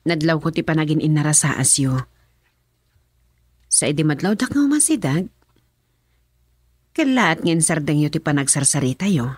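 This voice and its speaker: Husky, suspicious Filipino wife. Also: female voice, sits low, expressive, normal speed, pauses often, a little mellow, dry recording, clean studio sound. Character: suspicious, questioning wife of the boss, a madam. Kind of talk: Ilocano radio drama acting.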